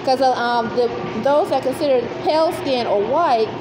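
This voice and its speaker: A woman's voice: high-pitched, normal speed, echoey room, over music, rough recording. A woman talking in a casual monologue, searching for words with many fillers and restarts. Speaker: speaker explaining beliefs. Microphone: phone.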